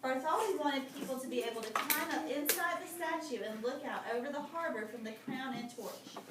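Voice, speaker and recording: A high-pitched woman reads aloud as a teacher, pausing to explain and question her pupils in an instructive way. She is recorded at a distance from the microphone in an echoey room, with clear background noise. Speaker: teacher reading aloud to children. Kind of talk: read-aloud lesson with prompting questions. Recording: very echoey noisy room, far from mic.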